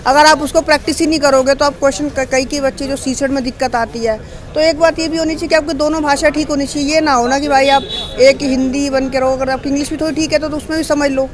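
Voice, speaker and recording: A man's voice: very high-pitched, fairly even intonation, fast. A man from Delhi, a civil services aspirant, speaking emphatically in a street interview and mixing English phrases into his talk. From Delhi, India. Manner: emphatic, talkative, mixes English phrases into Hindi. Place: busy city street outdoors. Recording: busy crowd noise outdoors.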